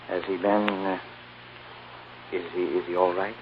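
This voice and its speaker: An actor playing a kindly, reassuring, paternal film studio boss in a 1940s radio drama. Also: male voice, deep voice, flat delivery, a little slow. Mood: concerned. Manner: solicitous, paternal. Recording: muffled noisy 1940s radio recording.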